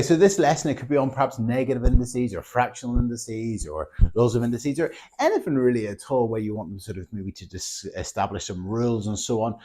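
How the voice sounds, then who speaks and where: Fast-talking male teacher. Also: mid-range voice. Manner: chatty, animated, tags lines with "okay?". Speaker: maths teacher. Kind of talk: casual teaching advice to other teachers.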